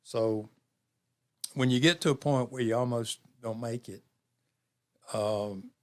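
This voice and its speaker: A man in his seventies talking reflectively as an interview guest, measured, with pauses and phrases repeated for emphasis. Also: medium pitch. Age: 70s. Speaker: interview guest, community program leader.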